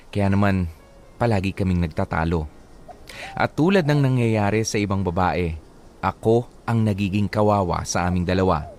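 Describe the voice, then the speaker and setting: Male radio host, low-ish voice, playful banter and letter reading. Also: a little mellow. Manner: jokey, laughs easily.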